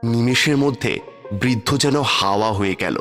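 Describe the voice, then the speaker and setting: A man's voice: mid-range voice, moderate pace, over loud music. A man narrating a scripted cartoon fairy tale as its storyteller.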